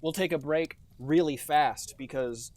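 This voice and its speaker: A man's voice: fairly high pitch, measured pace, darkish tone. A young adult man co-hosting a casual podcast, cracking jokes with mock-grandiose asides. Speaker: podcast co-host. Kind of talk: casual chat.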